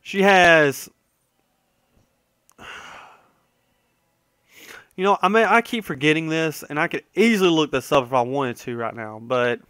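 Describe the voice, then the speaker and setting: A man's voice: fairly high pitch, lively intonation, a little slow, pauses often, a bit bright, clear, resonant tone, dry recording, studio quality. A man giving casual, rambling gaming commentary, conversational and opinionated, often trailing off and correcting himself. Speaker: gaming video host.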